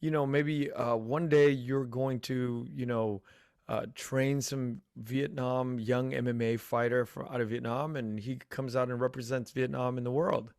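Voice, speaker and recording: Man, gravelly voice, dry close sound, casual podcast host. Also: mid-range voice, a little slow, no room sound. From United States. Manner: relaxed, friendly, many fillers and restarts. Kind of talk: casual interview chat.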